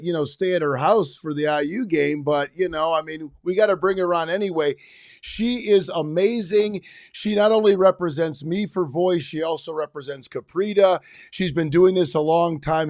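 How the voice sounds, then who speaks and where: A man's voice: on the high side, no echo. Chatty middle-aged man, dry close sound. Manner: rambling, chatty with many restarts. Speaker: podcast co-host. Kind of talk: casual chat. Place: his home.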